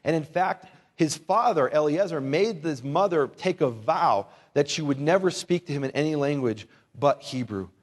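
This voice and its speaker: A man's voice: a little high, expressive, normal speed, hardly any pauses. A man who is a native English speaker, giving an informal Bible lesson to a live audience in a conversational, wryly humorous manner with rhetorical questions. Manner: conversational, wry humour, rhetorical questions, asks listeners to repeat words. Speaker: Bible teacher and lecturer.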